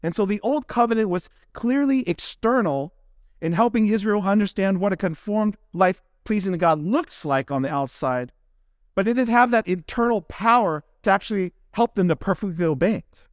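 Male preacher, high-pitched voice, earnest, didactic, deliberate phrasing, expository sermon, dry recording. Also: no room sound. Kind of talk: expository sermon delivered from prepared notes.

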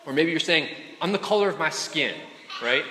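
A man's voice: fairly high pitch, animated, normal speed, heavy background noise. A man giving a casual, conversational sermon to a room of students, often checking in with them and slipping in jokes. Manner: chatty, keeps checking in with "right?" and "okay?"; joking asides to the crowd. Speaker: speaker addressing students. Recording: echoey hall with faint room ambience.

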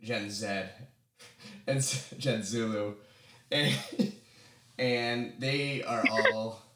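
A man co-hosting a podcast in casual chat, halting and repeating words as he goes, with casual swearing. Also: fairly low pitch, steady tone, background noise. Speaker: podcast co-host. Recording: roomy, echoey sound.

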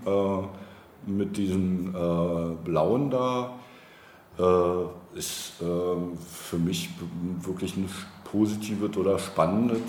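A man speaking as a politician in a casual interview, halting as he searches for words. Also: sits low, darkish tone. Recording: roomy echo, clear background noise.

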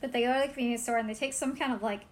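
A woman's voice: on the high side, fairly even intonation, very fast. A woman co-hosting a podcast, chatting playfully and rambling with lots of "like" about an anime episode. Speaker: podcast co-host.

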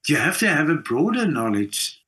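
A man's voice: on the high side, big pitch swings, normal speed, pushed and bright. A man in his sixties with a South African accent, speaking reflectively and emphatically in a conversational interview. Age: 60s. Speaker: interview guest, writer and performer.

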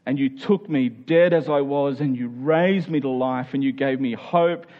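A man's voice: medium pitch, unhurried, highs cut off. A man preaching a sermon in an earnest, exhorting manner, hammering short phrases home through repetition. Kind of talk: sermon with scripture reading and prayer.

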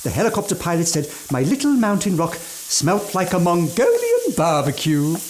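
An actor playing an eccentric, aging gourmand mountaineer with ghoulish relish and fussy, old-fashioned genteel speech in a radio drama. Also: male voice, high-pitched, huge pitch swings, moderate pace, darkish tone, slight background noise. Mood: savoring relish. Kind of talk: theatrical radio drama monologue.

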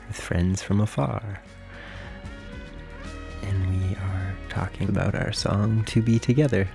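A man co-hosting a podcast who introduces the song and signs off in a relaxed, easygoing way. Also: deep voice, slow pace, vocal fry, soft background music. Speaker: podcast co-host. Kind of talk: casual show sign-off.

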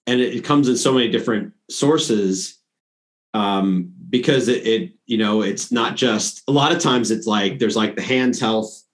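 A man's voice: lowish voice, unhurried. A middle-aged man hosting an interview, speaking in an informal, rambling conversational way. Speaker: interviewer, host. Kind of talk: casual chat.

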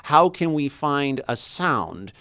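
A man's voice: fairly high pitch, sing-song, takes it slowly, pushed and bright. A man working as a Foley artist, explaining things casually and enthusiastically, often rambling and interrupting himself. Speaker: radio play Foley artist.

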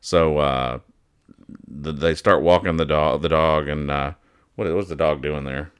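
A man hosting a casual, humorous show, giving halting, mock-earnest commentary as he goes. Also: very deep voice, fairly even intonation, clean tone. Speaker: host of a comics-reading show.

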